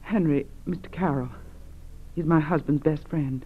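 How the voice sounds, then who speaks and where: A woman's voice: deep voice, moderate pace, breathy edge. Muffled vintage radio recording, worried wife, hesitant, pleading woman, 1940s radio crime drama. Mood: anxious. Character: anxious, pleading wife of a kidnapped man. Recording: dull old radio recording.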